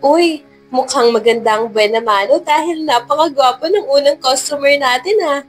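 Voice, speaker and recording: A woman's voice: high voice, normal speed, soft background music, voice pushed up, natural voice. A woman voicing a friendly, chatty young saleslady with a bubbly, teasing manner in a narrated love story. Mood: excited.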